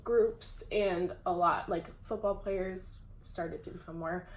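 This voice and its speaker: A woman's voice: fairly low pitch, fairly even intonation. Hesitant young woman in an echoey room. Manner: hesitant, many "um" pauses, laughs easily. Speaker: interviewer. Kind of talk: casual conversation. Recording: echoey room with faint background hum.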